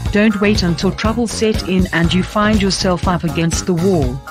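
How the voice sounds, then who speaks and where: A woman's voice: lowish voice, normal speed. A woman reading a scripted ad voiceover in an urgent, warning way. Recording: voiceover over loud music.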